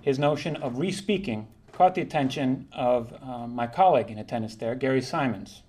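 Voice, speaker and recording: A man presenting a prepared linguistics talk, reading in a measured, formal way and sometimes correcting himself. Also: medium pitch, darkish tone. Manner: measured, formal delivery with occasional self-corrections.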